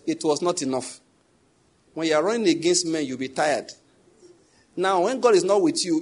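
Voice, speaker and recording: A young adult man preaching, his voice pitched a little high with a husky edge. He presses each point hard, repeats key phrases and keeps throwing questions back at the congregation. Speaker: pastor. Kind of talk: sermon.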